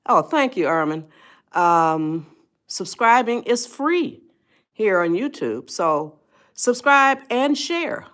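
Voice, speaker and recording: A woman in her eighties hosting a casual livestream, chatting slowly and greeting viewers by name. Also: deep voice. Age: 80s. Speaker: livestream host. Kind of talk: casual livestream advice talk.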